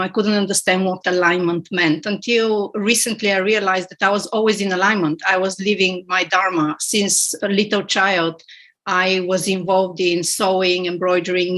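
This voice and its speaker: A middle-aged woman originally from Bulgaria speaks as a podcast guest. She talks in a reflective, unhurried way, often pausing on fillers and restarting her sentences. Her pitch sits in an ordinary middle range. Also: fairly bright tone. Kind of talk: conversational interview.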